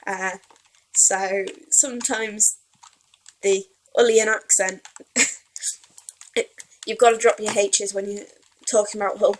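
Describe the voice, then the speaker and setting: A woman's voice: mid-range voice, steady tone, takes it slowly, long pauses, a little hiss or hum, rough recording. Rambling young Welsh woman gamer. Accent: Welsh, with some Yorkshire creeping in. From Wales. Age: young adult. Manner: rambling, self-deprecating, mumbling. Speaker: let's play gamer. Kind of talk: casual rambling gameplay commentary.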